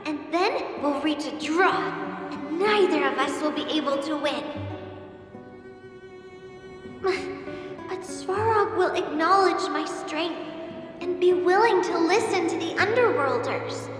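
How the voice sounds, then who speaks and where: Game role: a sick little girl with big dreams, very high voice, heavy echoing reverb. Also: measured pace, over music. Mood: eager, bold. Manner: earnest, wistful, childlike. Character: hopeful sick little girl dreaming of becoming a champion fighter. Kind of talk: video game voice acting, reading a heartfelt letter. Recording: echoey hall with long reverb.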